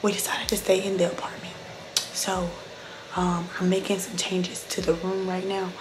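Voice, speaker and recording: A woman in her twenties with a sick, hoarse, partly lost voice, chatting casually to vlog viewers. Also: fairly low pitch, completely flat delivery, moderate pace. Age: 20s. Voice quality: hoarse, breaking into whisper. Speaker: vlogger. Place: indoors, mostly a bedroom at home. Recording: clearly noisy camera audio.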